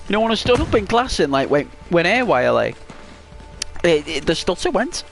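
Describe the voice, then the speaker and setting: A man's voice: high voice, big pitch swings, moderate pace, gravelly, faint music behind. A man with a British accent chatting casually while playing a video game, rambling with lots of "like" and restarts. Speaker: gaming channel host.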